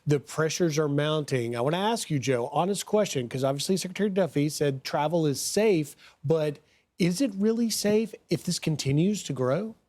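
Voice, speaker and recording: A man's voice: on the high side, lively intonation, moderate pace, a little mellow. A man hosting a broadcast news show, introducing guests and putting questions to them in an interview.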